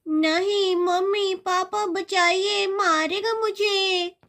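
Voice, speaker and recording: A woman's voice: very high voice, measured pace, a bit bright. A woman voicing a mischievous, whiny young girl in a children's cartoon story. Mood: pleading.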